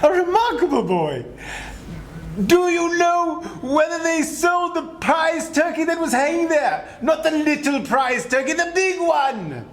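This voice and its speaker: A man's voice: very high voice, expressive, unhurried. A man performing a theatrical dramatic reading as an old miser who has repented and turned effusive and generous. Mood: giddy delight. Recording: echoey room with clear background noise.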